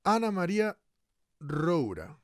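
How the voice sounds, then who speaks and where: A man playing a high-voiced radio host. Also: big pitch swings, slow pace, no echo, clean studio sound, exaggerated voice. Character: eager male radio host, brisk interviewer. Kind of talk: radio drama acting.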